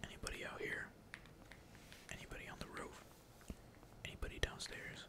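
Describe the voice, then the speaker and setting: Asmr gamer whispering the whole time, breathy, slangy, swears a lot, keyboard typing behind. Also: normal speed. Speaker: gaming video creator. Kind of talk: whispered ASMR gaming commentary, casual chat. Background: computer keyboard typing.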